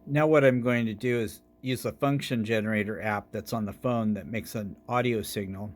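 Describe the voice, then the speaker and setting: Man, slightly low pitch, casual narration, hands-on demonstrator, electronics show-and-tell host. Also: steady tone, normal speed, slight background noise.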